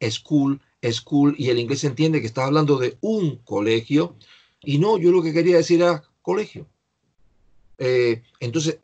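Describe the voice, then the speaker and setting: A man's voice: medium pitch, a little mellow. An older man teaching English in an online class, patiently explaining pronunciation to a student over a video call.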